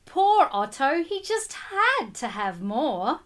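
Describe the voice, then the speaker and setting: Woman, children's storybook reader. High-pitched, very animated, explains things simply and directly to young listeners. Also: slow. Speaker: teacher reading a picture book aloud to children. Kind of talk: animated storybook read-aloud with asides to children.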